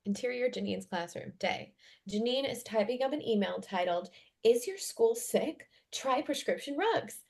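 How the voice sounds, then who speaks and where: A woman's voice: a little high, animated, normal speed, creaky, a little mellow. A woman reading out stage directions as scripted narration at a table read.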